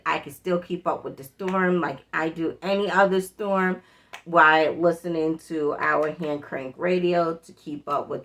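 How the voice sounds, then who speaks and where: A woman's voice: on the low side, vocal fry, fairly bright tone. A middle-aged woman chatting casually as she hosts a demonstration video, repeating her points for emphasis. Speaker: prepper vlog host. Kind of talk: casual product demonstration chat.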